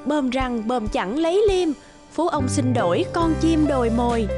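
A woman narrates a folktale from a script, reciting verse in a high voice. Music is clearly present behind her. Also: expressive, a little slow.

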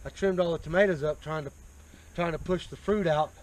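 Higher-voiced American man explaining outdoors. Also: a little fast, background noise. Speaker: homesteader showing his farm. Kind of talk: casual explanatory chat. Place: outdoors in a farm garden. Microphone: picked up by a nearby handheld action camera.

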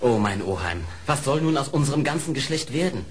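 An actor playing an anxious, loyal badger nephew in an old audio play, pleading theatrically. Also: male voice, medium pitch, lively intonation, brisk, a little hiss or hum, lightly acted. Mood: lamenting, worried. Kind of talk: German audio play acting.

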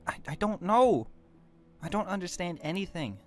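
A young man playing a confused teenage boy newly turned hellhound in a Minecraft roleplay drama, halting and stammering. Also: high voice, sing-song. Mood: bewildered. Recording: music behind the voice.

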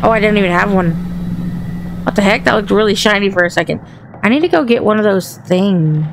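A woman with a Southern accent and a raspy voice chats to the ghosts while playing a horror game. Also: mid-range voice, brisk, background noise. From Texas. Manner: talks to the game and its ghosts, jumpy and chatty. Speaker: gaming channel host. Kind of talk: horror game let's play commentary.

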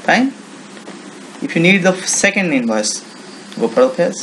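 A man with an Indian accent giving an informal, unscripted software tutorial in halting English with non-native phrasing. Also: high voice, lively intonation, a little hiss or hum, rough recording. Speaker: software demonstrator.

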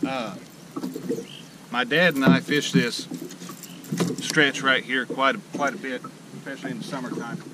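A folksy man talks to a boat-mounted camera at dusk, winded and excited after a fight with a fish. His voice sits at an ordinary middle pitch and he rambles with plenty of filler words. Heavy wind and lapping water roar over the open-air recording. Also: measured pace, long pauses. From Illinois, USA. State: out of breath. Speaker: fishing channel host. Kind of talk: casual outdoor vlog talk. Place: small boat on a lake outdoors at dusk. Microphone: action camera mounted in the boat. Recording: loud wind and water noise outdoors.

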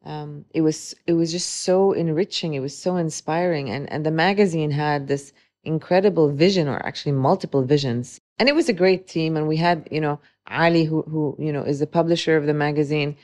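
This is a woman in her forties with a notably low voice, speaking as an interview guest. She talks thoughtfully, pausing and restarting as she searches for words. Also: darkish tone. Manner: reflective, with frequent hesitations and restarts. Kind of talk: conversational interview.

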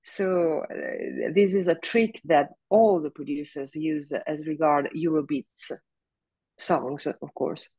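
A middle-aged woman singer with a big, low speaking voice talks slowly on a video call. She halts often with fillers and breaks into laughs. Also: dark tone, husky, no room sound, poor audio. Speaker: singer, interview guest. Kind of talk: casual video call interview. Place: home room, seated in office chair.

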